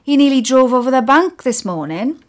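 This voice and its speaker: A friendly bus driver turns down a request with a string of apologies but will not budge. A woman reading the story voices the part, raising her pitch and speeding up in bursts. The tone is warm but firm. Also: expressive, moderate pace. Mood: apologetic firm. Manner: chatty, apologetic, calls people lovely.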